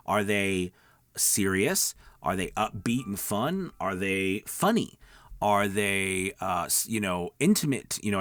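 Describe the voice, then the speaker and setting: A man's voice: lowish voice, dry recording, studio quality. A middle-aged man giving casual, conversational coaching to viewers, emphatic and often checking in with his listeners. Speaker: voice-over coach and video host. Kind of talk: casual instructional talk to viewers.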